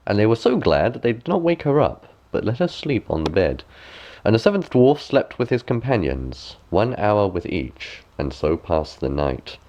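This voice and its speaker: A man reading a bedtime fairy tale aloud as an expressive storybook narrator. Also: lowish voice, moderate pace.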